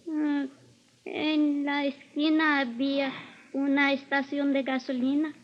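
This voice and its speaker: A woman's voice: high voice, completely flat delivery, measured pace, slight background noise. An actress playing a young Spanish-speaking girl questioned as a witness in a 1950s radio drama. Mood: hesitant recall. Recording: dull vintage 1950s radio recording.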